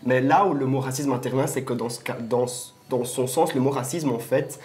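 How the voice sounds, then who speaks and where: A man's voice: mid-range voice, brisk, darkish tone. A man of about twenty explaining his views earnestly in a casual group discussion. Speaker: student panelist in a discussion.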